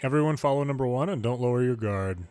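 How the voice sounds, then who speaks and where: A man's voice: mid-range voice, fast, darkish tone, clear, resonant tone, faint music behind. A man reading video game story dialogue aloud in English, lightly acting out the different characters. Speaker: fan reader of game dialogue.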